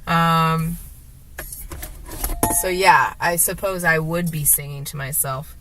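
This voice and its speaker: A woman with a sore throat and a gravelly, deeper voice, rambling hesitantly to the camera from her car, with many fillers and restarts. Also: steady tone, slow, bright, forceful tone, low-quality recording. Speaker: vlogger. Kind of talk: rambling casual monologue while driving.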